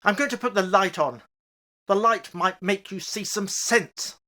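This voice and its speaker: A woman playing an anguished elderly parent who tries to reason through grief, pleading and halting in an amateur radio play. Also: medium pitch, pushed and bright. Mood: reluctant dread.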